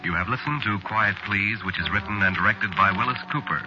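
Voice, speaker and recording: American male announcer, low voice, deliberate formal delivery, 1940s network radio, muffled sound, music bed. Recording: muffled vintage radio broadcast recording.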